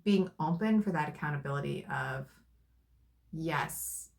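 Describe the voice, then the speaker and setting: A woman in casual conversation with her partner, thoughtful and soft-spoken. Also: deep voice, a little slow, vocal fry. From Arizona, USA. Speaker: co-host.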